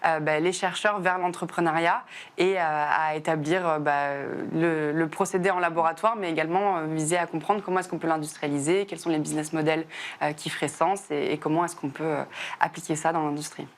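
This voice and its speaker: Young woman from southern France, twenties, low-ish voice, rapid, hesitant with fillers; interview subject. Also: steady tone, a little hiss or hum. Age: 20s. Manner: fast, frequent "euh" fillers and restarts. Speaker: chemist and startup founder. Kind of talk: interview answers.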